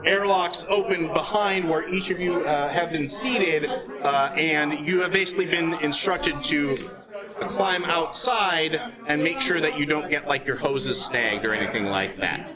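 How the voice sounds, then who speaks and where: A man running a live tabletop game as game master, explaining rules in halting phrases. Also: fairly high pitch. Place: live convention event, indoors. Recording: loud crowd noise behind.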